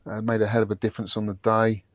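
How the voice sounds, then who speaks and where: A British man co-hosting a podcast, relaxed and easygoing as he chats and reads out listener comments. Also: lowish voice, fast, no room sound. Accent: British English. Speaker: podcast co-host. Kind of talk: casual chat, reading listener comments.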